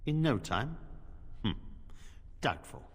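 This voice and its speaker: An actor playing a grave, courteous male commander in a fantasy game, speaking in a measured, formal, dry manner. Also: mid-range voice, sing-song, husky, in a large hall, exaggerated voice. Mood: dryly doubtful. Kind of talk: video game voice acting.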